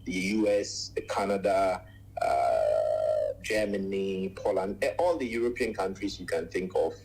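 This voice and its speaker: A man in his forties from Ghana, a radio guest telling his life story in a measured way, with many pauses and long lists. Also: sits low, expressive, a little mellow, poor audio. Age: 40s. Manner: measured, frequent "uh" pauses, lists things at length.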